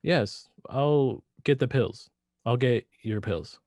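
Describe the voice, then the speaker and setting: A meek, bewildered husband in a radio play, played by a man, speaking very slowly and haltingly. His voice is mid-pitched and dry, with words often repeated as he hesitates. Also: a little mellow, no echo. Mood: nervous. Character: weak, bewildered henpecked husband turning to murder. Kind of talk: radio drama acting.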